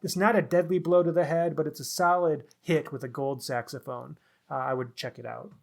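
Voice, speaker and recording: A man's voice: on the high side, steady tone, brisk, gravelly. A man co-hosting a podcast, talking through a book review. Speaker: podcast co-host.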